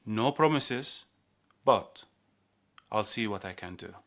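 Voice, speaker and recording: An actor playing a secretive, demanding prison guard in a radio play. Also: male voice, on the low side, lively intonation, slow, a bit bright, full character voice. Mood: matter-of-fact.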